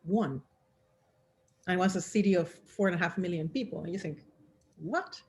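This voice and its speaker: A middle-aged woman from Venezuela chats casually as she hosts an informal online session. Her voice sits a little low with a husky grain, and she talks expressively, working out thoughts as she speaks. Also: moderate pace. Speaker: session host. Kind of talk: casual group chat.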